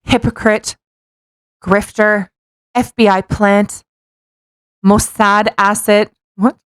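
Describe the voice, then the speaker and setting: A woman with a medium-pitched voice chats casually as a podcast co-host in a very dry-sounding space. She is blunt and sarcastic, with a teasing, mocking delivery. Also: level tone, slow, clear, resonant tone, dry recording. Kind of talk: casual chat, mocking dramatic reading and commentary.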